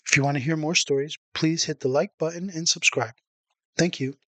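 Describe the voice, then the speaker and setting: A man's voice: a little high, steady tone, moderate pace, bright, forceful tone. A man narrating a children's storybook as a read-along storyteller.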